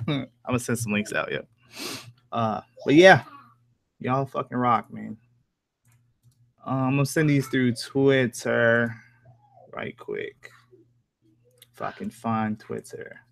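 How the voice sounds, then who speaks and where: A young man with a soft voice hosting a livestream, chatting casually in rambling, slangy, profane talk. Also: mid-range voice, slow pace, vocal fry, long pauses. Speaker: livestream host, horror story narrator. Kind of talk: casual livestream chat with viewers.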